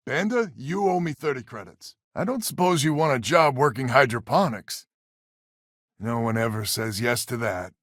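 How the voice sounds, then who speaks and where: A man's voice: medium pitch, animated, moderate pace, fairly bright tone, no echo, clean studio sound. A man voicing a weary, stubborn space settler leader in a video game, plain-spoken and sardonic with a folksy manner. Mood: wry. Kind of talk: video game character dialogue.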